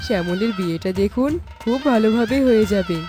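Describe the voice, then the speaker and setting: A woman acting an approving elderly aunt who gives blessings and praise in an animated moral story. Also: mid-range voice, fairly quick, natural voice. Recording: music behind the voice.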